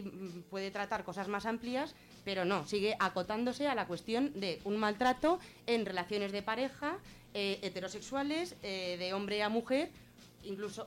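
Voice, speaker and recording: Woman from Spain, informal and halting explainer. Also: medium pitch. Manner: colloquial, halting, thinking aloud. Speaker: guest explaining gender-violence legislation on a radio show.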